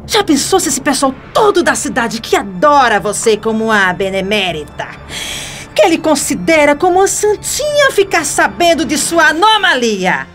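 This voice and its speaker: A woman's voice: on the high side, fairly bright tone. An actress playing a scheming, domineering woman in a Brazilian radio soap opera, mocking and theatrical. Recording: music bed under the voice.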